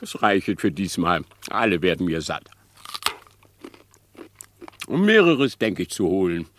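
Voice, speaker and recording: An actor playing a sly, scheming male fox in a radio play, smooth and mocking with chuckles. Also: sits low, sing-song, measured pace, fairly bright tone, slight background noise, heavily acted. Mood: gleeful. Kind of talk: classic radio play acting.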